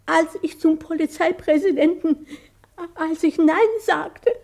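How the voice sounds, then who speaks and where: Meek elderly lady for radio drama: high-pitched, halting, politely stubborn. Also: flat delivery, gravelly, darkish tone, heavily acted. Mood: newly defiant. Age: older. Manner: halting, timid, repeating herself. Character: meek, hesitant old lady clinging to her little house. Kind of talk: German radio play acting.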